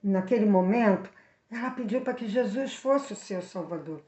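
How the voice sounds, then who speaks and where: A woman with a Brazilian accent telling a Bible story for children, speaking directly to the young viewers in an animated way. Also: mid-range voice, moderate pace, echoey room. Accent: Brazilian Portuguese.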